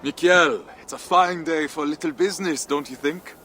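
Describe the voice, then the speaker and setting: An actor playing a proud, earnest Viking trader and husband in a video game cutscene. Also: male voice, a little high, normal speed, noisy background, lightly acted. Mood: light, affectionate. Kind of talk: dramatic game cutscene acting. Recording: music behind the voice.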